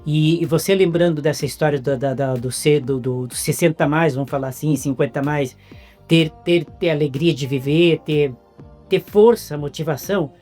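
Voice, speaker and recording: A Brazilian man with a Brazilian Portuguese accent, hosting an interview in a casual, halting way with many restarts. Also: fairly high pitch, a little mellow, soft background music. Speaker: interviewer host.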